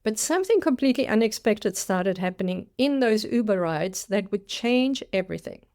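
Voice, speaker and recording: A woman's voice: mid-range voice, animated, moderate pace, darkish tone. South African woman, 60s, career coach delivering a dramatic scripted personal story. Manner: dramatic storytelling with acted-out quotes.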